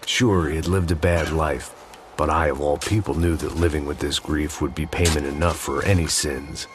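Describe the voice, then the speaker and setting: Very deep, world-weary noir hero. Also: male voice, fairly even intonation, normal speed, background noise. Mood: resigned. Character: weary, bitter, grief-haunted middle-aged male action hero narrating. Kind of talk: scripted noir voice-over narration. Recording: music behind the voice.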